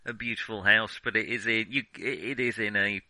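A man co-hosting a film podcast, thinking aloud in a halting, digressive way as he casually reviews what he has watched. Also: fairly low pitch, steady tone, bright, forceful tone, no room sound.

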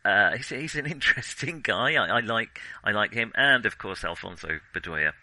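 A man's voice: fairly low pitch, animated, normal speed, pushed and bright, no room sound. A man co-hosting a podcast, discussing films casually in a hesitant, discursive way that often corrects itself. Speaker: podcast co-host.

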